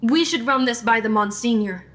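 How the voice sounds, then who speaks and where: Woman acting a decisive sleuth, high bright voice, deadpan, teasing, sharp, audio drama banter. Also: moderate pace, natural voice. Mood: intrigued, decisive.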